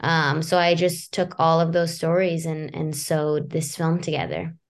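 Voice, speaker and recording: A young woman in her twenties, a writer-director guest, telling stories in a quick, chatty way with fillers. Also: sits low, flat delivery. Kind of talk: casual interview answers.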